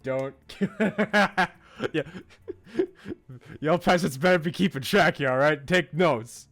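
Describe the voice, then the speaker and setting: A man's voice: fairly high pitch, takes it slowly, a bit bright. A man chatting casually as a live game streamer, with playful, rambling asides. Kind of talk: live gaming commentary, casual chat. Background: music.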